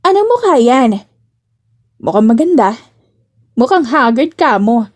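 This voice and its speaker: A woman's voice: on the high side, sing-song, unhurried. A woman voicing a nosy, bantering female coworker in an audio drama, teasing and inquisitive.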